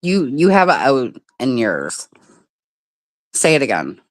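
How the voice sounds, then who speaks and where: A woman's voice: a bass voice, big pitch swings, takes it slowly, no echo. A woman from the Midwest of the United States hosting a casual livestream chat, talking loosely, swearing freely and laughing often. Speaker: livestream host.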